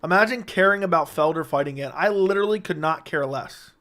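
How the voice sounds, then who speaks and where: A man hosting a casual livestream, reading chat questions aloud and answering them in a joking way, his voice slightly raspy and worn. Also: a little high, fast talker, fairly bright tone.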